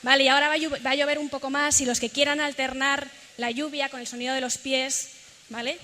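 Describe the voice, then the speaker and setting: Bright-voiced woman leading a workshop. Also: high-pitched, little pitch movement, quick pace, slight background noise. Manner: instructive, guiding a group. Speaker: workshop leader. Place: large hall. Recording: echoey hall, faint ambience.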